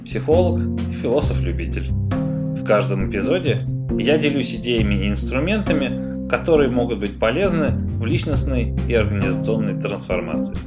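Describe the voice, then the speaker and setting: A man announcing a scripted podcast intro with expressive intonation. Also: medium pitch, fairly quick. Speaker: podcast host. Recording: voice over loud guitar music.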